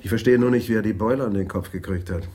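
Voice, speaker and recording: Low, husky, animated police detective. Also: male voice, fast. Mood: probing. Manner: direct, questioning, businesslike. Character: brisk, probing male Amsterdam police detective. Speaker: actor in a radio play. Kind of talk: 1980s German radio crime drama dialogue.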